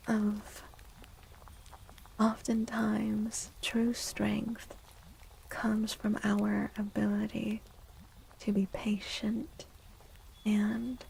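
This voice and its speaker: A woman livestreamer speaking in a soft, breathy, often whispery ASMR style, gentle and soothing, close to the microphone. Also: medium pitch, a little hiss or hum, low-quality recording. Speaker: livestreamer reading tarot for viewers.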